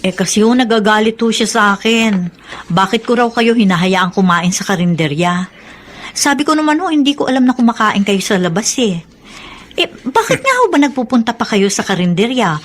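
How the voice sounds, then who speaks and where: A woman's voice: a little high, very animated, brisk, a little hiss or hum. A woman playing a harried but dutiful middle-aged Filipina daughter, protesting and explaining in a radio drama style. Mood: concerned, questioning.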